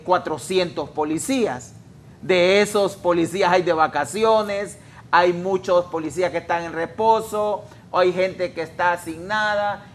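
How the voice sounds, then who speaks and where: A man speaking as a traffic police official in a studio interview, explaining in a measured, hesitant way with many fillers. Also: a little high.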